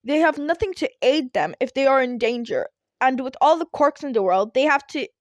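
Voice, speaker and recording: A woman voicing an excitable, self-important male hero, blustering and incredulous, in a story reading. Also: high voice, normal speed, dry recording, clean studio sound. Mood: incredulous, arguing.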